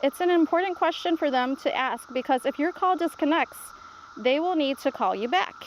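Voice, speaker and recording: A woman's voice: sits high, lively intonation, moderate pace, slight background noise, poor audio. A woman voicing a patient, reassuring grandmother who explains things simply in a children's storybook read-aloud. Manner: reassuring, instructive.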